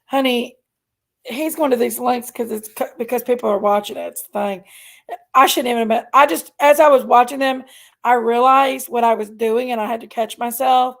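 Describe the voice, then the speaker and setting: Raspy high-voiced Southern woman. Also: normal speed. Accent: American Southern. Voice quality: raspy, gravelly. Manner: drawling, reads out chat names. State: congested from allergies. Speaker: livestream host. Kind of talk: casual livestream chat with viewers.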